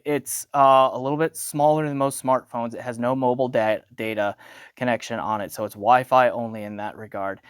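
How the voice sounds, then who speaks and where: A man talks through a product review in a loose, conversational style. His voice is clear and resonant at a medium pitch, captured very dry. He speaks unscripted, tagging his points with little check-ins to the listener. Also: dry recording. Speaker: audio gear reviewer.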